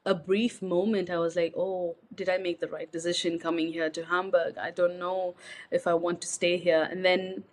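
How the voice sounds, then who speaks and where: A young woman from India gives a personal interview. She talks in a reflective, searching way, full of pauses and restarts, and her voice sits a little low. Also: little pitch movement. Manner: reflective, hesitant, with many fillers and restarts. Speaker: guest.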